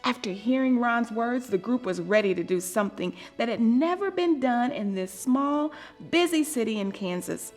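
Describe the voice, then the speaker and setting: A woman with a slightly high voice reads a story for children. Her delivery is expressive and deliberate, leaning on key words and pausing for effect. Music plays behind her. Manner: dramatic, deliberate emphasis with theatrical pauses. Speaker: storyteller reading a picture book aloud.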